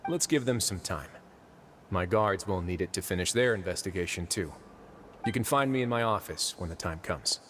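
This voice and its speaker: An actor playing a composed, fair-minded duke and prison warden in a video game, measured and dryly wry. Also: male voice, deep voice, lively intonation, a bit bright, slight background noise. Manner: measured, deliberate, dryly playful. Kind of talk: video game character dialogue. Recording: game music behind the voice.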